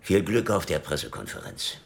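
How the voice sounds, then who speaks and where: An actor playing a gruff, sceptical senior police officer in a radio drama, authoritative and sardonic. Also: male voice, a bass voice, sing-song, moderate pace, heavily acted. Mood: stern, sardonic.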